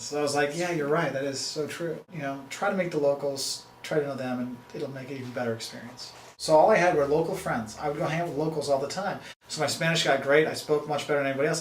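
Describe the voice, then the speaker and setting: A man's voice: mid-range voice, reverberant, a little hiss or hum, poor audio. A man in his thirties, a marketing professor and travel video host, talking casually to camera in a rambling, chatty way. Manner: rambling, chatty, full of fillers and restarts.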